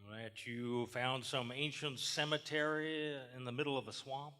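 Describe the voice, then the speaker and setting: An actor playing a gruff, skeptical lawman in a live radio play, folksy and blunt as he interrogates. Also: mid-range voice, fairly even intonation, a little slow, bright, forceful tone. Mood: exasperated, skeptical. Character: gruff, skeptical Southern small-town lawman interrogating a suspect. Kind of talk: live radio horror theater acting. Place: large theater stage, live performance. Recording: live stage in echoing theater hall.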